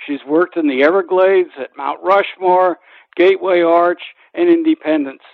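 A man's voice: a little high, steady tone, normal speed, vocal fry, no echo. A man hosting a conversational interview, asking questions and introducing guests. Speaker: interview host. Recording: thin, phone-like radio sound.

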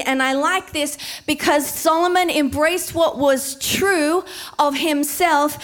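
Woman in her forties, preacher; high voice, emphatic sermon delivery. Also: a bit bright. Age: 40s. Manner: emphatic, slowing down to stress key words.

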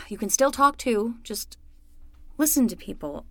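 A woman's voice: on the high side, expressive, a little slow, fairly bright tone, no echo, heavily acted. A woman playing a young summer nanny, patient and wry, talking casually and frankly in a naturalistic fiction podcast.

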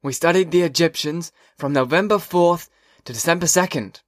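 A man voicing an old, sarcastic male history teacher who lectures slowly and pointedly. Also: fairly high pitch, no room sound, clean studio sound, voice pushed up, natural voice.

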